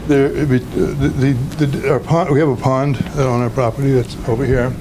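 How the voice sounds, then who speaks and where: A man with a loud voice presenting his home project to a town commission, plainspoken and halting. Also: mid-range voice, flat delivery. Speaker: homeowner applicant. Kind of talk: presenting a project at a public meeting. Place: town meeting room. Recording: noisy meeting room audio.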